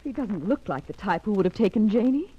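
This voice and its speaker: A woman's voice: mid-range voice, big pitch swings, fairly quick, husky. An actress playing an anxious, insistent mother in 1940s radio style, with an earnest, urgent, dramatic delivery. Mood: demanding, frantic. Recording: dull vintage radio recording.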